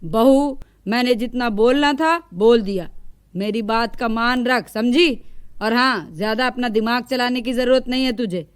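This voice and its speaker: A woman's voice: on the high side, a little slow, clean tone, dry recording, clean studio sound, close to an everyday voice. A woman voicing a bossy, stern older mother-in-law in a moral-story cartoon.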